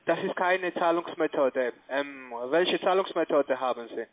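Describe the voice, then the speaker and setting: A man giving a phone sales pitch in halting non-native German with grammar slips, as a call center agent. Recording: tinny band-limited phone line.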